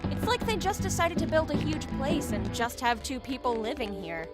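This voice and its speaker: A woman's voice: high voice, big pitch swings, normal speed. A woman playing a jaded, sardonic young squad member in an audio drama, flippant and weary. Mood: bored. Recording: loud music behind.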